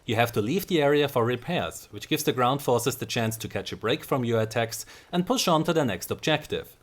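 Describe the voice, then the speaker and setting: A man's voice: medium pitch, expressive. A man hosting a gaming channel, giving scripted, methodical commentary on game design. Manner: explanatory, measured and methodical. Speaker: gaming video host.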